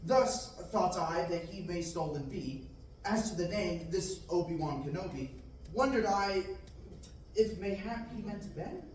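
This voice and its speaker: Young man as a dreamy farm boy, high voice, echoey classroom from across the room, faint hiss. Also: lively intonation, unhurried, recorded from a distance. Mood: earnest. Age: young adult. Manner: earnest, declaiming, occasional slips. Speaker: student actor at a table reading. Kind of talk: reading Shakespearean verse aloud. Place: large classroom, indoors. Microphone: far camera.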